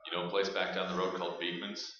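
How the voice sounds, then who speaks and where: A man acting as a commanding survivor in a horror radio drama, with a lowish and very forceful voice. He is blunt and resolute. The sound is distant and heavily echoing. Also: completely flat delivery, quick pace, slight background noise. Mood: grim recounting. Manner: urgent, blunt. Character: resolute, commanding male survivor of monster siege. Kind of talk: staged radio play acting. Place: live stage performance. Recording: distant, very echoey, muffled stage recording.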